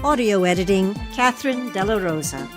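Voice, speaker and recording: A woman's voice: mid-range voice, expressive, brisk, music in the background. A woman hosting an arts interview podcast, asking questions and reading scripted lines. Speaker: host.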